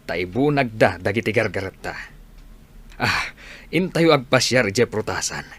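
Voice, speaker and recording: An actor with a northern Philippine accent playing a weary but grateful older village man in a radio drama, plaintive and expressive. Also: lowish voice, measured pace, slightly rough, exaggerated voice. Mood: pleased.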